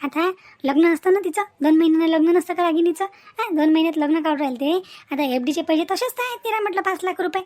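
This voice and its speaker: Cartoon young village wife, played by a woman: very high, fast, scolding and scheming, rural Vidarbha Marathi accent, piles up rhetorical questions. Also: darkish tone. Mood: sly coaxing. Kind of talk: Marathi cartoon family-drama dialogue.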